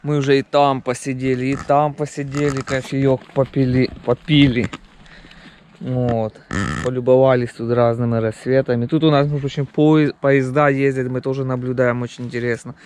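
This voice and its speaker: A man's voice: medium pitch, flat delivery, moderate pace, a little mellow, slight background noise. A man narrating a casual camping vlog outdoors, talking to viewers. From Ukraine. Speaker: camping vlogger. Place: outdoors at a forest campsite.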